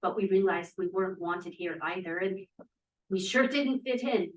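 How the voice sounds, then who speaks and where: An actress playing an earnest, idealistic young Russian émigré scientist in a 1950s period drama at a table read. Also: female voice, on the low side, normal speed, slight background noise, close to an everyday voice. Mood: confessional, sad. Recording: echoey room, distant microphone.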